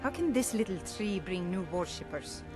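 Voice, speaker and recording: Woman playing a devout, measured temple priestess. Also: medium pitch, lively intonation, over music, lightly acted. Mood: concerned, doubtful. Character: devout priestess running a city temple, earnest and serene. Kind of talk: scripted fantasy game dialogue.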